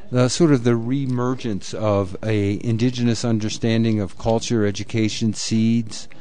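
A man's voice: mid-range voice, mellow. A man hosting a live radio interview, talking in a loose, rambling way with many fillers. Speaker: radio host.

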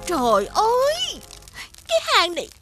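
A woman's voice: very high voice, wildly swooping pitch, unhurried, full character voice. A woman voicing a spoiled, scheming young daughter of a rich man in a children's cartoon, whiny and bratty. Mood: complaining. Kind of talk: children's fairy-tale cartoon dubbing. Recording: loud music behind the voice.

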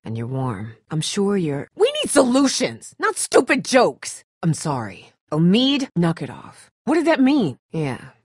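A woman's voice: fairly low pitch, huge pitch swings, measured pace, hardly any pauses, husky, no room sound, studio quality, full character voice. A woman playing a tough, blunt but caring survivor of an apocalypse in a dramatic video game. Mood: tense, blunt. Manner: blunt, urgent, no-nonsense.

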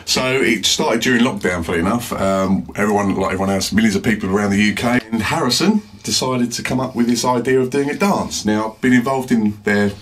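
A man's voice: on the low side, brisk, hardly any pauses. A middle-aged British father as a radio guest, friendly and telling his story. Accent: British English. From United Kingdom. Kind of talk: casual interview.

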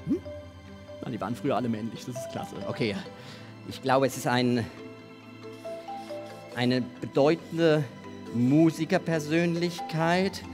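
A man in his forties presenting a live magic act on a theatre stage, with playful, showmanlike patter and deliberate pauses. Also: medium pitch, steady tone. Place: large theatre stage with seated audience. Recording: music playing behind the voice. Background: music.

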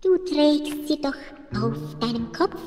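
A woman's voice: high voice, slow, music in the background. A woman voicing a mysterious, solemn dream goblin in a 1970s children's radio play. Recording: echoey hall reverb.

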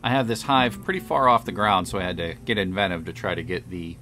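Guy with a lowish voice, chill explainy voiceover, music playing under it. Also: fast. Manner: relaxed, explanatory, matter-of-fact. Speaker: beekeeper and channel host. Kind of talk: voice-over narration of a hive install. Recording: voice over background music.

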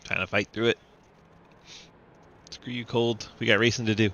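A man's voice: on the low side, fairly even intonation, normal speed, bright, forceful tone, a little hiss or hum. A man calling a sim race with playful banter, stuffy with a cold and laughing often. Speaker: sim racing commentator. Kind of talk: live race commentary and banter.

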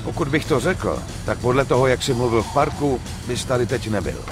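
A man's voice: mid-range voice, sing-song, over music, natural voice. A man playing a level-headed, persuasive gangster in a dubbed crime game, blunt and slangy. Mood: calm, persuasive. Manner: blunt, slangy, self-assured. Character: level-headed, persuasive male gangster running a drug deal. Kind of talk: dubbed video game acting.

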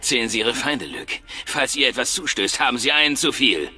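Sharp, forceful, defiant tough guy. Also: mid-range voice, animated, fairly quick. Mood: angry, threatening. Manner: blunt, sardonic. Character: tough, defiant Irish mechanic and wanted brawler. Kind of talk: dramatic video game acting in German. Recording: music behind the voice.

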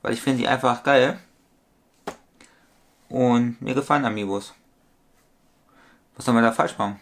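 A man's voice: mid-range voice, a little slow, a little hiss or hum. A man in his thirties talking casually to a webcam in a small room at home, rambling and repeating himself as he shows a collectible figure. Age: 30s. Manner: rambling, repetitive, corrects his own sums aloud. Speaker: toy collector vlogger. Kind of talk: casual vlog monologue.

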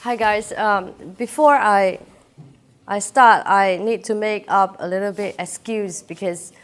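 A woman's voice: mid-range voice, measured pace. Malaysian woman giving a chatty talk. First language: Chinese. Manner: chatty, personal, laughs often, frequent "uh" fillers. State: feverish. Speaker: keynote speaker. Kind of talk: inspirational talk. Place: lecture room with audience.

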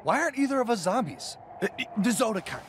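A young man playing an excitable, earnest teenage zombie schoolboy in a cartoon. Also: sits high, fast talker, a little hiss or hum. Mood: worried, earnest. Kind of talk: animated series acting. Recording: clip with clear music behind. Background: music.

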